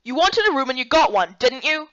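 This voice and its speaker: Stern fantasy-game leader played by a woman, high voice, haughty and dismissive, dry lo-fi sound. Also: very animated, fairly quick, a bit bright, dry recording. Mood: impatient, dismissive. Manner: curt, dismissive.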